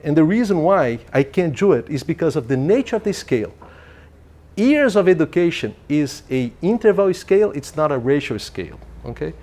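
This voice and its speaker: A man's voice: fairly high pitch, sing-song, moderate pace, dark tone. A man giving an academic lecture in a lecture hall, speaking, often restarting his sentences and checking in with the audience with "okay?". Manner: thinking aloud, frequent restarts and "okay?" checks.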